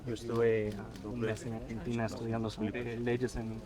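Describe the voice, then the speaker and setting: A man explaining his family's history in a halting, earnest way. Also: medium pitch, little pitch movement. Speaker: family member at a commemoration. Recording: crowd chatter around a distant mic.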